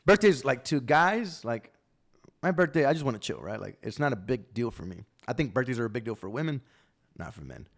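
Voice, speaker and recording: A man's voice: medium pitch, sing-song, brisk, vocal fry. A man, hosting a casual podcast chat in a laid-back way, swearing freely and tagging his thoughts with "right?". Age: 30s. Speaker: podcast host.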